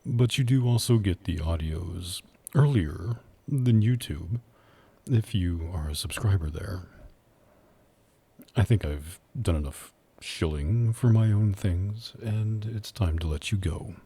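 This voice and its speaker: A man with a husky voice talking casually to listeners as the host of an ASMR voice acting channel. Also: low voice, slow, warm tone.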